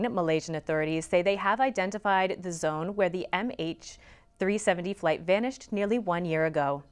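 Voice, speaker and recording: A young woman anchoring a college newscast from a studio newsroom, reading scripted headlines in a crisp, even newscaster manner. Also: fairly low pitch. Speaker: student news anchor.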